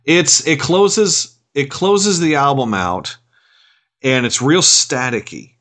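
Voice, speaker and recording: A man co-hosting a casual podcast chat, talking in a halting way with many repeated words. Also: fairly high pitch, a bit bright. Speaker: podcast co-host.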